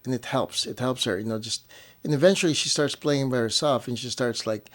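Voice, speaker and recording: A man's voice: mid-range voice, brisk, a bit bright. Casual man chatting as a parent co-host. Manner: frequent "you know", repeats phrases for emphasis. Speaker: parent co-hosting a family show. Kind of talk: casual conversational chat with partner.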